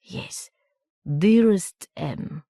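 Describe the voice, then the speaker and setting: A wary teenage girl from an audiobook, as a woman narrator puts her on. She sounds guarded and unsure, her questions hesitant. The voice is low and dry, close on the mic. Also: very slow, warm tone, dry recording.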